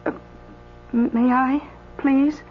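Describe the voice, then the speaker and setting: An actress playing a timid, anxious woman in a radio drama, halting and pleading. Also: high-pitched, steady tone, a little hiss or hum. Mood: anxious, hesitant. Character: anxious, timid woman who witnessed an accident. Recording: muffled, slightly humming radio recording.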